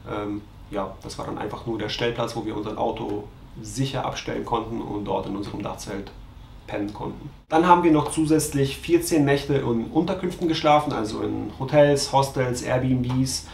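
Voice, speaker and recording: A man in his thirties, a travel vlogger, calmly going through trip costs to a camera in a bedroom, glancing at his notes. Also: mid-range voice, normal speed, vocal fry, echoey room, slight background noise. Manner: matter-of-fact, reads figures from notes. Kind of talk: scripted cost breakdown to camera. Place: bedroom with mosquito net, indoors.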